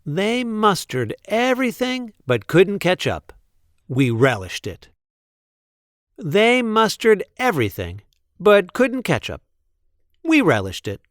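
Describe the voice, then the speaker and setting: Male storyteller for a picture book: lively, swooping intonation, unhurried delivery, playful emphasis, close dry sound. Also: sits high, dry recording. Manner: deliberate, playful emphasis on repeated sentences. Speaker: read-aloud storyteller. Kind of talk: expressive picture book read-aloud.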